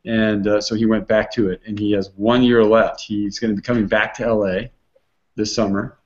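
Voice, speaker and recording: A man's voice: lowish voice, level tone, moderate pace, dull audio. A man hosting a casual online hangout, talking in a rambling way with many restarts and fillers. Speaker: host.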